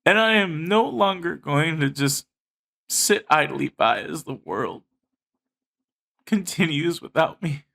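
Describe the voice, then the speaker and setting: Guy doing a heartfelt sweary monologue to his subscribers, voice kinda high and really forceful. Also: lively intonation, takes it slowly, vocal fry, pauses often. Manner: earnest, emphatic, sweary. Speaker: video creator addressing subscribers. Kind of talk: impassioned personal monologue to viewers.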